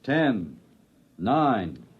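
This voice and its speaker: A man acting as a breezy, brisk spaceship navigator in a 1950s radio drama, on a dull, noisy old recording. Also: on the low side, slightly rough, full character voice. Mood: intent countdown. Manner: animated, cheeky, brisk.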